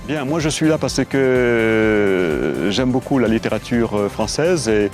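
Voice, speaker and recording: A novelist whose first language is Creole speaks outdoors with easy enthusiasm about writing. Music drifts faintly in the background. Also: male voice, lowish voice, animated, measured pace.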